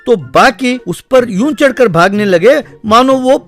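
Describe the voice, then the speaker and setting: A man's voice: extremely high pitch, expressive, a little slow, faint music behind. A man reading scripted narration for a cartoon story.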